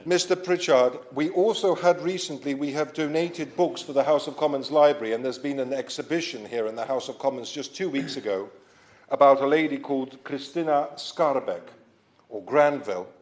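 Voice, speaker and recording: A man born in Poland delivers a formal parliamentary speech in a debating chamber. His voice sits at a middling pitch, and his delivery is measured and deliberate. He adds the odd self-deprecating aside. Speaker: member of parliament, debate sponsor.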